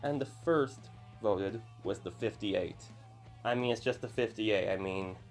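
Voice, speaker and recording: A man's voice: fairly low pitch, unhurried, faint music behind. A man in his early twenties with a youthful-sounding voice, talking casually as a video host with chatty, apologetic asides. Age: early 20s. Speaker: YouTuber host.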